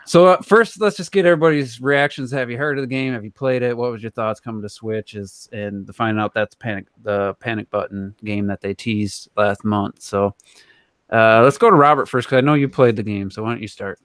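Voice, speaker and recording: A man's voice: medium pitch, brisk, clean tone, no echo. A man hosting a casual gaming podcast discussion, talking informally. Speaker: podcast host.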